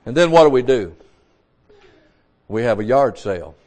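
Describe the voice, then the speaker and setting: A man's voice: mid-range voice, sing-song, normal speed, a little mellow. A man in his sixties, a pastor, preaching a sermon in a folksy, repetitive way, restarting his words. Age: 60s.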